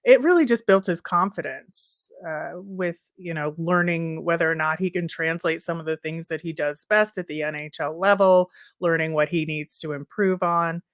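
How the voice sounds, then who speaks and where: Woman w a lowish voice chatting casually on a sports podcast, dry close sound. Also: moderate pace, few pauses, dry recording.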